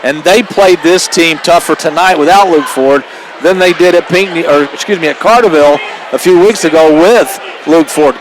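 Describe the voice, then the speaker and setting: An American man gives radio color commentary after a game. His voice is distinctly high for a man, and he leans emphatically on his points and figures. A light wash of venue noise sits behind him. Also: normal speed, a faint crowd, rough recording. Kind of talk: post-game analysis with statistics.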